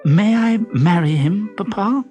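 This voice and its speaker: A man voicing a bold, mischievous young woman in a children's storybook, brisk, playful and coaxing. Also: sits high, expressive, a little mellow, soft background music, voice pushed up. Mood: hopeful.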